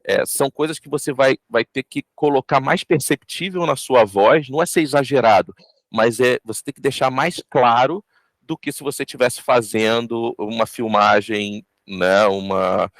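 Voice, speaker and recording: Male voice actor, reflective interview answers, halting, dry close sound. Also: mid-range voice, fairly bright tone, no room sound. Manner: thoughtful, halting, with many restarts. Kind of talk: behind-the-scenes interview.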